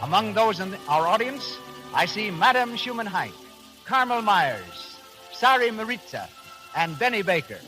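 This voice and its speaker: High-voiced 1930s radio announcer. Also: male voice, sing-song, a little slow, sharp tone, faint music behind, low-quality recording. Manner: polished, declamatory. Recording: old 1930s radio broadcast.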